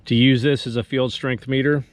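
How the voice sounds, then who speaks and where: A man's voice: mid-range voice, level tone, moderate pace, a little hiss or hum. A man presenting an explanatory equipment demonstration in a methodical, instructional manner. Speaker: presenter of radio equipment tutorial.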